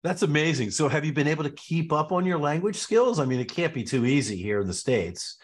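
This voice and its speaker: A man's voice: a little high, animated, brisk. A man hosting a podcast, chatting casually in a jokey, chummy way with his guest. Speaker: podcast host. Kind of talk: casual interview chat. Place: Boston.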